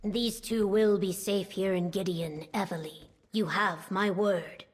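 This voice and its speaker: A woman playing a dignified, protective reptilian governor in a fantasy video game, speaking in a measured, formal way. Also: mid-range voice, steady tone, breathy edge. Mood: assured, formal.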